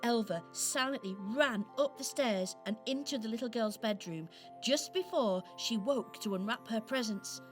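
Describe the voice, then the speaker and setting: A woman narrating a scripted children's radio play expressively, with dramatic pauses. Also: a little high, faint music behind.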